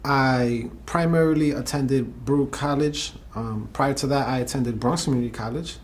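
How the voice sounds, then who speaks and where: A man's voice: medium pitch, moderate pace, slight background noise. A man, introducing himself for a documentary interview. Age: 20s. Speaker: alumnus working in education.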